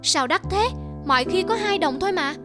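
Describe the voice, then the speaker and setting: A woman's voice: very high voice, lively intonation, brisk. A woman voicing a poor, meek village woman in a cartoon, pleading humbly. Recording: loud cartoon music underneath.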